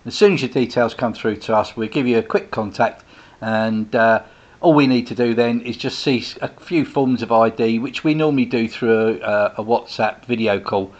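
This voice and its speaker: A man's voice: medium pitch, moderate pace, muffled recording. Chatty man in his sixties. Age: 60s. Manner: chatty and rambling, with frequent restarts and asides. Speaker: host, direct sales business owner. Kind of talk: casual monologue to viewers.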